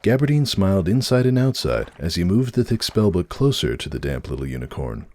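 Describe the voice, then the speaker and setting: A man narrates a story in a very low voice. His reading is scripted and dramatic, with a lot of movement in the pitch from phrase to phrase. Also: very animated, brisk, darkish tone. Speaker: narrator reading a fan fiction story aloud.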